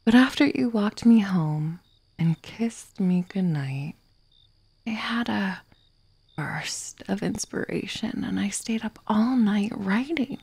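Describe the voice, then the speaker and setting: Woman acting slow, creaky-voiced menacing obsessive killer. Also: mid-range voice, expressive, a little mellow, no echo, full character voice. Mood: wistful, intense. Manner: sardonic, menacing, breathy whispers and sighs. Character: obsessive, vengeful young woman, murderous mystery writer. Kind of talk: ASMR roleplay, dramatic monologue.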